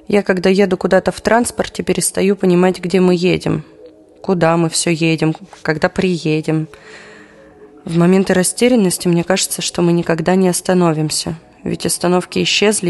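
A woman's voice: lowish voice, darkish tone, natural voice. An actress playing an anxious, reflective young woman in a diary-like audio play monologue. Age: young adult. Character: young Kyiv woman, anxious and reflective, living through wartime blackouts.

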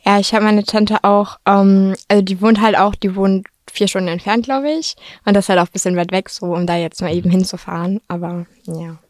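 A woman's voice: medium pitch, fairly even intonation, fairly quick, hardly any pauses, darkish tone, no echo. Young woman, casual, dry close sound. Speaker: student. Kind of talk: casual chat.

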